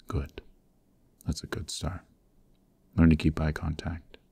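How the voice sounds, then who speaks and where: A man performs a young mafia heir in an ASMR roleplay, stern, cold and used to giving orders. His voice sits extremely low, with a dark, mellow tone and a gravelly creak. He speaks in clipped, menacing commands. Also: completely flat delivery, takes it slowly, no echo, exaggerated voice. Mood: stern, commanding. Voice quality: gravelly, very deep. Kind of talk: ASMR roleplay, scripted acting.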